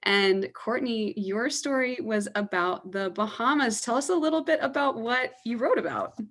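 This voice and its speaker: A woman's voice: a little high, animated, normal speed, fairly bright tone. A woman hosting an interview series over a video call, friendly and enthusiastic as she welcomes and questions her guest.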